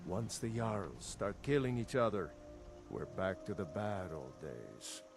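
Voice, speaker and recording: A man's voice: sits low, expressive, slow, creaky, over music, exaggerated voice. A man playing a middle-aged village blacksmith in a fantasy game, earnest, opinionated and loyal to his empire. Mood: grave. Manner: earnest, opinionated, matter-of-fact. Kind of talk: fantasy video game dialogue.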